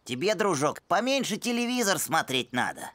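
A woman voicing a sensible, skeptical, slightly bossy puppy boy in a children's cartoon, in a measured know-it-all manner. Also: on the low side, very animated, noisy background. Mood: dismissive.

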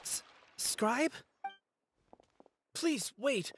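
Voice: sits high, animated, very slow, slight background noise, exaggerated voice. An actor playing a proud, easily flustered young male scholar in a video game, hesitant and polite but prickly. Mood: hesitant, surprised.

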